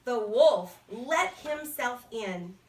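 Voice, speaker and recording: A woman's voice: on the high side, animated, very slow. A woman narrating a fairy tale for children as a storyteller. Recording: echoey room, distant from mic.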